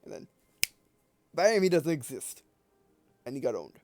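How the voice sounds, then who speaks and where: A man's voice: fairly high pitch, very animated, unhurried, darkish tone, soft background music. A man giving casual, hesitant and rambling commentary as he plays a game. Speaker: gamer playing and commenting on a visual novel. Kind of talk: casual let's play commentary.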